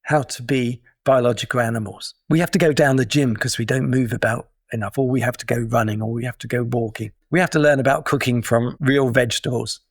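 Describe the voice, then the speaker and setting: Middle-aged British man, podcast guest, measured and explanatory, mid-range voice, dry studio. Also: medium pitch, fairly even intonation, no room sound. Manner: thoughtful, explanatory, with small restarts. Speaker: guest, digital detox coach. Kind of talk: casual interview talk.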